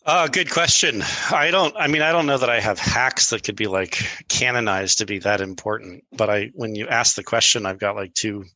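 A man's voice: lowish voice, moderate pace, few pauses, bright, forceful tone, no echo, low-quality recording. A man speaking as a podcast guest in loose casual chat, full of fillers and restarts. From Brazil. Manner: rambling, many fillers and restarts.

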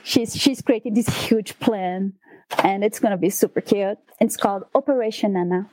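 A woman's voice: mid-range voice, normal speed, darkish tone, no echo, rough recording. Chatty Brazilian-accented woman guest. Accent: Brazilian Portuguese. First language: Portuguese. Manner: chatty, many fillers, laughs often. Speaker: guest author. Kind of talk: casual interview chat.

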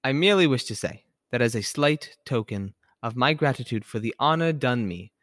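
A man's voice: mid-range voice, expressive, moderate pace, fairly bright tone, no room sound, clean studio sound, natural voice. A man playing a charming young man who gives a playful, mock-formal speech in an engaging manner.

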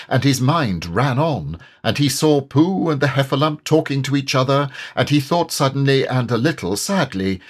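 A man reads a children's story aloud at an unhurried pace. His pitch is medium and very animated, with a slightly husky edge to the narration. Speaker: audiobook narrator and voice actor.

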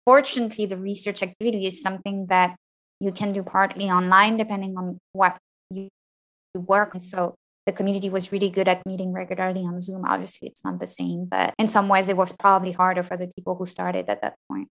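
Woman in her thirties, high voice, interview guest, frank and reflective, restarts often. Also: lively intonation, a little fast, fairly bright tone, rough recording. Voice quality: fairly high-pitched voice. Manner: thoughtful, frank, with frequent restarts. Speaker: interview guest, PhD graduate.

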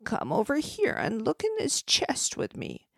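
A woman voicing a loving elderly grandmother who speaks gently to her granddaughter. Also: on the high side, huge pitch swings, unhurried, vocal fry, no echo, studio quality, voice pushed up, full character voice.

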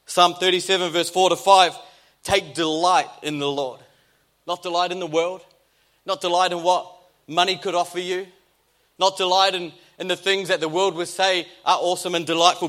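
A man's voice: sits high, steady tone, normal speed, fairly bright tone. A man with a New Zealand accent, a pastor giving a conversational sermon with jokes and emphatic repetition.

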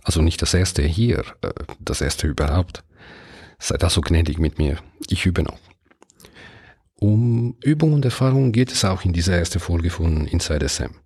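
A man in his fifties from southern Switzerland, Italian by mother tongue, whose German carries a clear Italian accent. His voice sits low and mellow with a husky edge. He hosts his own podcast alone, frank and conversational. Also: moderate pace. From Ticino, Switzerland. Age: 50s. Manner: calm, candid, conversational with small hesitations. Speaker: podcast host. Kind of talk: solo podcast monologue.

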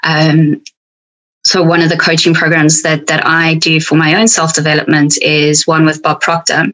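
A woman's voice: low-pitched, monotone, moderate pace, fairly bright tone, low-quality recording. South African woman coach, frank and reflective. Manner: frank, reflective, speaks to herself in quoted thoughts. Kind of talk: podcast conversation.

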